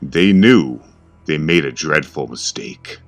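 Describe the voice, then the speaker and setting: A man narrating a scripted horror story in a dramatic, ominous, deliberate way. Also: very deep voice, lively intonation, soft background music.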